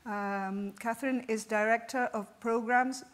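A woman with a somewhat high voice moderates a conference panel. She introduces each speaker in a halting way, with many ums and uhs. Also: fairly even intonation, slight background noise. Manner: warm thanks, halting with fillers. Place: conference hall stage.

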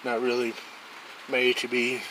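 A man's voice: medium pitch, completely flat delivery, unhurried, noisy background. Plainspoken man reviewing gear outdoors. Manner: rambling, plainspoken, thinks aloud. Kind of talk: casual product review. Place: outdoors on a wooden deck.

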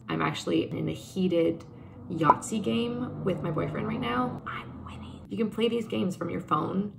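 A woman's voice: lowish voice, vocal fry, background noise. A young woman from Canada talking to her webcam in a bedroom, earnest and upbeat, gesturing as she reflects on her plans. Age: young adult. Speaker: vlogger. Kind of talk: casual talk to camera. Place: bedroom indoors.

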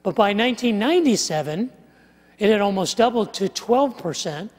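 An older man preaching a sermon, walking through statistics with animated gestures and wry asides, on a headset mic on a large church stage. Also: high voice, moderate pace. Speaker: pastor. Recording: live sermon with long hall reverb.

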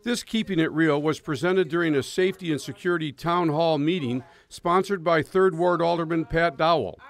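A man narrating a local news segment in an even, measured reporting style. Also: on the high side, vocal fry.

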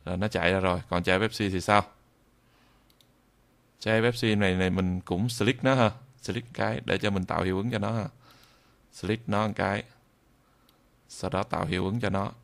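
Low-pitched man with a southern Vietnamese accent. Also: steady tone, vocal fry, a bit bright. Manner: halting, repeats phrases as he demonstrates. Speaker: tutorial instructor. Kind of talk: screen-recorded software tutorial, improvised.

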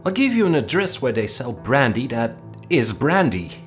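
A man's voice: mid-range voice, sing-song, normal speed, faint music behind, voice pushed up, close to an everyday voice. A man reading a story aloud, voicing a brash, hearty young male army officer who teases his cousin. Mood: breezy, tired. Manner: hearty, teasing, breezy.

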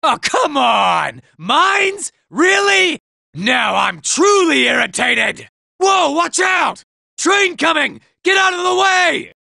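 A man voicing a nervous, boastful male robot soldier in a video game, shouting in panic and tossing out sarcastic asides. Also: very high-pitched, sing-song, slow pace, gravelly, no room sound, studio quality, exaggerated voice. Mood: panicked.

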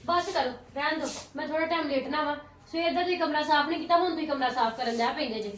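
A woman playing a sharp-tongued, short-tempered sister-in-law. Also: high-pitched, echoey room, recorded from a distance, a little hiss or hum, lightly acted. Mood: sarcastic. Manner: sharp, scolding, quick retorts. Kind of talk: family drama skit.

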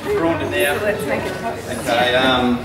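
A man's voice: mid-range voice, little pitch movement, slow pace. A young man giving a joking, offhand best man speech from notes into a handheld mic at a wedding. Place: large hall at a wedding reception. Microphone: handheld mic through speakers. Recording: echoey hall with crowd noise, from far off. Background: laughing guests.

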